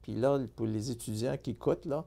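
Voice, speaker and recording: A man's voice: medium pitch, fairly quick, creaky, dark tone. A middle-aged man, a candidate telling his life story in a casual interview, earnest and full of fillers and restarts. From born in Italy, raised in Montreal. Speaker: political candidate.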